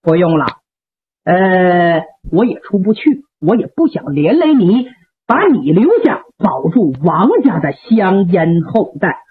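A woman's voice: on the low side, big pitch swings, a little slow, slightly rough, pitched below the performer's own voice, heavily acted. A woman doing a stern, fiery old general. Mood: grave. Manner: harsh, commanding, threatening. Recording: tinny phone-like radio recording.

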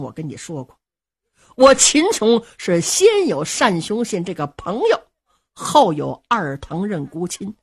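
A stern, loyal male general from a traditional tale, delivered by a woman storyteller in forceful, indignant declamation. The voice is husky and climbs high when it flares, with wide swings of pitch. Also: heavily acted. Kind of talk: traditional Chinese storytelling performance.

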